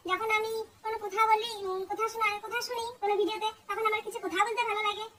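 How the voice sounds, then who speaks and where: A woman speaks quickly in a casual vlog style through a voice-changer filter that makes her extremely high. The sound is distant, with faint noise behind it. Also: little pitch movement, sharp tone. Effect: pitch-raised voice-changer filter. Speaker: vlogger.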